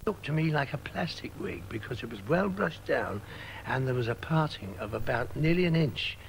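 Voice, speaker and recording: Man, gravelly lively voice, hesitant description. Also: mid-range voice, moderate pace, slight background noise, rough recording. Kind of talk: reminiscing interview.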